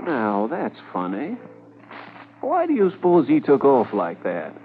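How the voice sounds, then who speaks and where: Easygoing rail-yard local, folksy, lively intonation, thin vintage radio audio. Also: male voice, medium pitch, a little slow, very noisy. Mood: curious, easygoing. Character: easygoing folksy small-town railway yard worker. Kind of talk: 1950s radio drama acting. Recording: thin lo-fi 1950s radio recording.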